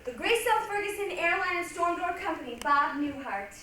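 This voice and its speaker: High, bright woman in an echoey hall. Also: animated, moderate pace. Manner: theatrical, declamatory. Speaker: reader's theatre performer. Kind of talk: dramatic ensemble stage reading. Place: large echoey room, recorded from a distance. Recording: echoey hall, distant mic, faint noise.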